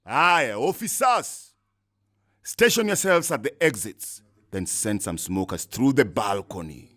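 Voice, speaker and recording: A man's voice: medium pitch, sing-song, unhurried, fairly bright tone. A man playing a villainous, authoritative police captain in a motion comic, giving clipped orders. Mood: menacing, commanding. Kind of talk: dramatic motion comic dialogue.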